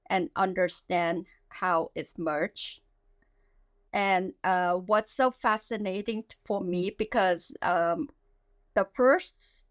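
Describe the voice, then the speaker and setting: A woman, a guest speaking haltingly with many fillers in a casual interview. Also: on the low side, no room sound. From Vietnam. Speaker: guest, skincare founder.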